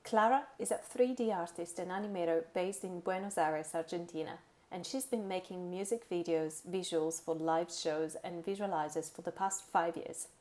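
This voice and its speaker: A woman announces a programme with a scripted introduction. Her voice sits slightly low, and she reads in the set phrasing of a prepared narration. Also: darkish tone. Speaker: announcer of talk series.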